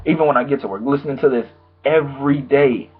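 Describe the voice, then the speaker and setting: A young man, slightly hoarse from a sore throat, giving a rambling, casual album review full of fillers. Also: mid-range voice, fast, faint music behind. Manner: rambling, loose, full of "like" and "you know". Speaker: music reviewer. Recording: tinny phone-like sound.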